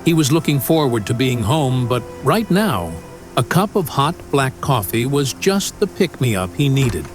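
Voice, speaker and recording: Animated male narrator over music. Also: mid-range voice, a little slow. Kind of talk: dramatic scripted narration.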